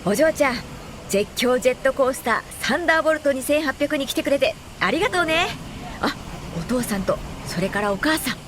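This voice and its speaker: High-pitched, excitable young ride attendant. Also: female voice, brisk, background noise. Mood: excited, welcoming. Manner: excitable, bouncy customer-service patter. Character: high-spirited blond young amusement park ride attendant, gushing and apologetic. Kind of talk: radio drama acting.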